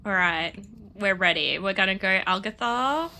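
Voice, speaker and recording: A woman's voice: medium pitch, expressive, moderate pace, bright, forceful tone, slight background noise. A woman streaming a game and chatting casually, sniffly from a cold, rambling and laughing often. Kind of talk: casual chat while playing.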